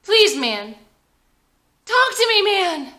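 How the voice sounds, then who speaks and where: Eager, emotional young guy, loyal best friend in a drama, read by a woman, high-pitched, bright, casual. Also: sing-song, slow pace, heavily acted. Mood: panicked, pleading. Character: anxious, loyal, emotional young male buddy.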